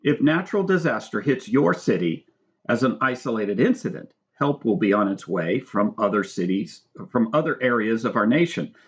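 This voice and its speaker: A man reading his own book aloud as scripted narration, in an instructional, measured manner. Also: mid-range voice, expressive, muffled recording. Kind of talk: scripted audiobook narration with spoken asides.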